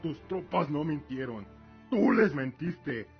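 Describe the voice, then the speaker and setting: A man playing a stern, fanatically devout warrior father in a radio drama, speaking gravely with accusing, commanding force. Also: fairly high pitch, lively intonation, slow, exaggerated voice. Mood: righteous fury. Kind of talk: Spanish-language audio drama acting. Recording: muffled audio with music.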